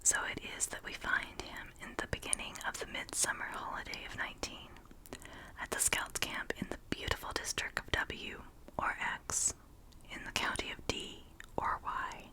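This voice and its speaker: A narrator reading a ghost story aloud entirely in a breathy whisper. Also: moderate pace, low-quality recording.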